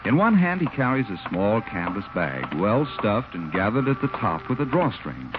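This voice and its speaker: Animated American man announcing old-time radio. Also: lowish voice, moderate pace, noisy background. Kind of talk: 1940s radio announcing, scripted narration. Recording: muffled 1940s radio with music. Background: music.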